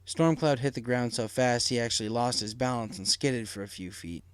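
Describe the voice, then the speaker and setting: A man's voice: medium pitch, moderate pace, clear, resonant tone, no room sound. A man narrating a dramatic story from a script as an audiobook reader. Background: faint music.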